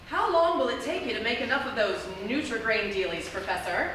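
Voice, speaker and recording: A woman playing a high, animated small-town mayor. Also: brisk, a little hiss or hum. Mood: questioning. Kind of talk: live comedic radio play acting. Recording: echoey live theatre from far off.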